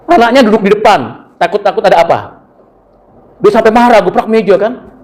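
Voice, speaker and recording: A man's voice: high voice, brisk. A middle-aged Indonesian man preaching a sermon, telling personal stories and acting out the people in them. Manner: animated storytelling, acts out quoted voices. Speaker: preacher and university lecturer.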